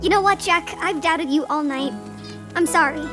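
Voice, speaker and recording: A woman's voice: extremely high pitch, moderate pace, music in the background. A woman voicing an anxious, earnest young male flying squirrel in a children's audio drama. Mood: earnest, relieved.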